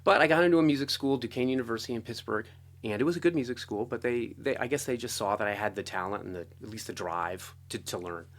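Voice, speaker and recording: A middle-aged American man telling his story casually as an interview guest, talkative and animated. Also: medium pitch, quick pace. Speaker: interview guest, composer and guitarist.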